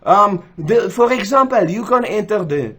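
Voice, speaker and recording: A young man with a Swiss accent talks through a screen-recorded tutorial in a chatty, animated way. His pitch jumps around a lot as he gestures and jokes at himself. He sits at a desk mic in a small room. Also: moderate pace, husky. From Switzerland. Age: young adult. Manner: chatty, self-joking, gestures while explaining. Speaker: gaming tools channel host. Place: indoors, small room, gaming chair.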